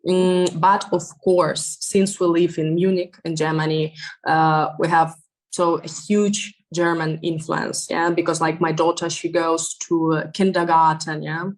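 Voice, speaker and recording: A woman in her thirties with a Belarusian accent speaks in a fairly low voice. Russian is her first language, and she tells her story in an interview with lively fillers and easy laughs. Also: measured pace. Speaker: interview guest. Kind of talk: conversational interview.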